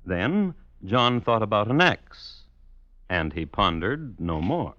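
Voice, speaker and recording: A man's voice: fairly low pitch, very animated, slow pace, sharp tone. An American man narrating as host in a dry, wry, folksy 1950s radio storytelling style. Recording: muffled old radio recording.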